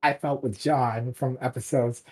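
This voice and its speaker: A person with a husky voice chatting casually and emphatically as a drama director guesting on a podcast, gushing and rambling along. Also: medium pitch, steady tone, measured pace, darkish tone. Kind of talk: casual enthusiastic chat.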